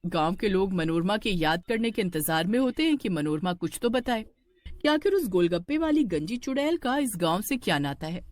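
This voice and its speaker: A woman's voice: medium pitch, expressive, normal speed, a little mellow, no room sound. A woman narrating a scripted horror story for a cartoon.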